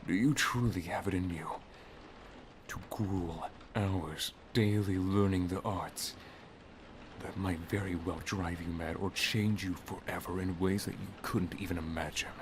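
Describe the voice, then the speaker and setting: Man playing a deep, hushed, solemn wizard master. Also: fairly even intonation, background noise. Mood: challenging. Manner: soft, half-whispered, grave, deliberate. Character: solemn, protective male wizard master and lover. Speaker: ASMR roleplay performer. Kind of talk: ASMR roleplay, dramatic monologue.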